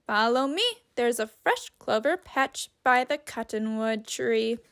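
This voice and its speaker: A woman reader voices a polite, friendly hare from a children's story. The voice is high and gently sing-song, with a slow, courteous delivery. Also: animated, exaggerated voice.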